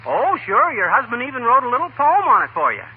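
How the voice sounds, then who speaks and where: A blustering, mischievous husband in a vintage radio comedy. His voice is high and swings animatedly, folksy and cocky. It comes through tinny and slightly hissy. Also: wildly swooping pitch, fast talker, full character voice. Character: blustering, boastful, mischievous husband, stubbornly frank. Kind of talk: 1940s radio comedy acting.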